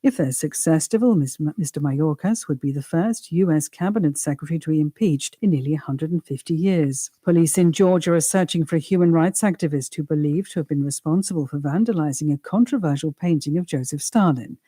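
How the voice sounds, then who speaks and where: Woman newsreader, really dark mellow voice, dry studio, world news read. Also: deep voice, fairly quick, few pauses, breathy edge, dry recording. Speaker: news reader. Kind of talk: scripted international radio news bulletin.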